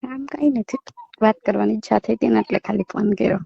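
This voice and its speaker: A woman's voice: on the high side, fast, creaky, breathy edge, no room sound. A woman chatting casually on a phone call, coy and evasive, on a lo-fi recording. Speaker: phone caller. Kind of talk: casual private phone chat.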